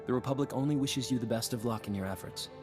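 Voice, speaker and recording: A measured diplomat voiced by a man in a science-fantasy game. His mid-range voice is polite and matter-of-fact, with music clearly underneath. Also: medium pitch, lightly acted. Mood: diplomatic. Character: pragmatic male Republic diplomat, polite and measured. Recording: music behind the voice.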